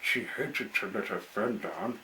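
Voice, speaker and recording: A man tells a children's story aloud at a very leisurely pace. His voice sits a little low. There is clear background noise in the recording. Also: unhurried, pushed and bright, rough recording.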